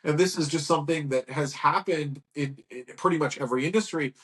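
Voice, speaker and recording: A man's voice: a little high, brisk. Frank, rambling man telling stories. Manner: rambling, frank, lively storytelling with acted quotes. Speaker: guest, agency owner. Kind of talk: casual interview talk.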